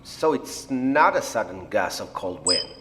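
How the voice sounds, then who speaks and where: A man playing a reflective, apologetic scientist in an audio drama, explaining things in a measured, hesitant way. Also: lowish voice, big pitch swings, heavy background noise. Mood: focused. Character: blind male scientist, reflective, apologetic, technical project doctor.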